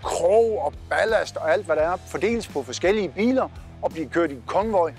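A cheerful, chatty man in his forties with a Southern Jutland Danish accent talks straight to camera. His voice sits fairly high for a man. He is recorded on a lapel mic outdoors, with faint music underneath. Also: animated. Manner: upbeat, chatty, pointing while talking. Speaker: trucker vlog host. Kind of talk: casual vlog narration to camera. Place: outdoors by parked trucks.

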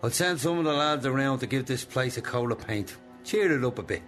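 An older Irish actor in a radio play, playing a controlling old boss with a deliberate, coaxing manner and benign menace. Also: male voice, mid-range voice, a bit bright, soft background music, lightly acted. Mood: brisk, commanding. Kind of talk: radio drama acting.

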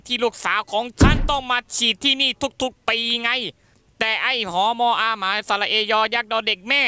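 A man voicing a foul-mouthed, frantic imp boss and doting father in an animated comedy dub, brash and exasperated. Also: very high voice, moderate pace, background noise. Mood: irritated, sweary. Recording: music behind the voice.

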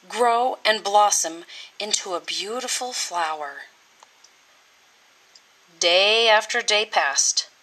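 A woman reading a children's storybook aloud with deliberate, expressive, dramatic phrasing. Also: fairly high pitch, low-quality recording. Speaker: teacher reading a picture book.

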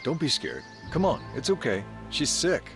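A man voicing a steady, patient paleontologist who speaks gently and soothingly. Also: a little high, normal speed, loud music behind the voice.

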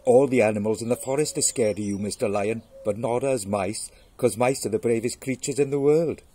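A man's voice: mid-range voice, fairly quick, mellow, slightly rough, natural voice. A man voicing a tiny, cheeky, boastful male mouse in a storybook fable. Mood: cocky, taunting. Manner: cocky, boastful, eager.

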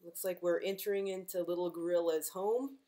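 A middle-aged woman reading a picture book aloud to young children, gentle and expressive, as a librarian at home. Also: lowish voice, fairly quick. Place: living room at home, indoors.

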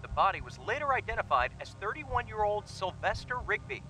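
Man acting as grave 1980s news reporter, high voice, animated intonation, formal scripted delivery, music underneath. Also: a little fast, full character voice, low-quality recording.

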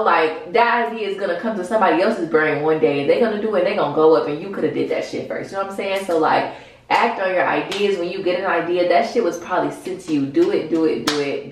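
Young american woman vlogging casually, low voice, super echoey bathroom, slangy and blunt. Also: a little hiss or hum. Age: 20s. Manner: informal, slangy, frank, sprinkled with swearing. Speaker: vlogger, small business owner. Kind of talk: casual advice vlog to camera. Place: bathroom, indoors. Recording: very echoey small tiled room.

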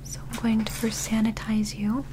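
A young woman playing a calm, caring alien physician in a whispered, gentle ASMR medical roleplay, close to the microphone over background noise. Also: a little high, natural voice. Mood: gentle, reassuring. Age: young adult. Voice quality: whispery, soft. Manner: slow, gentle, clinical, deliberate.

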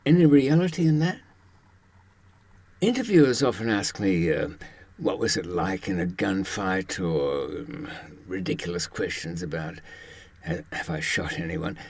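A man in his seventies with a husky voice, presenting a conversational monologue in a wry storytelling manner with rhetorical questions. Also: deep voice, measured pace. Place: indoors, in front of a green screen.

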